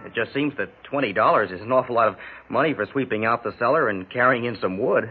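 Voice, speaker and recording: A man's voice: medium pitch, fast talker, lightly acted. An actor playing a polite, earnest, deferential young handyman in a 1960s radio drama. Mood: modest, awkward. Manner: deferential, plain-spoken, hesitant. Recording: muffled, noisy 1960s radio recording.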